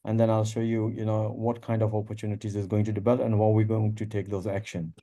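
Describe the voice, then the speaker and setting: Low-voiced male webinar host, mellow tone, filler-heavy and encouraging, on a video call. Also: monotone, quick pace. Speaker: forex trading webinar host. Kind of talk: live webinar presenting.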